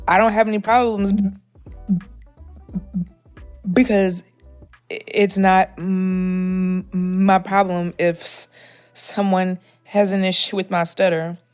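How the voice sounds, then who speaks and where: Slow-talking woman who stutters. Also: mid-range voice, little pitch movement, a little mellow, soft background music. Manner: stutters with repetitions and fillers. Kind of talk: personal recorded testimony.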